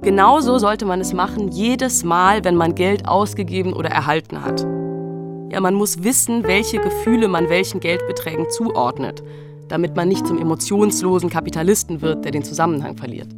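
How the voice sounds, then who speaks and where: A woman's voice: lowish voice, normal speed. A woman explaining something playfully, as in a radio feature. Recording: plucked guitar music behind the voice. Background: plucked guitar music.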